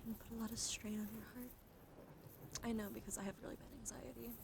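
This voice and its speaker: Soft whispery ASMR woman, creaky voice. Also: fairly high pitch, flat delivery, fast, noisy background. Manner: soft-spoken, soothing, meandering with gentle repetition. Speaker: ASMR live streamer. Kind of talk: intimate ASMR, partly whispered, chatting to viewers.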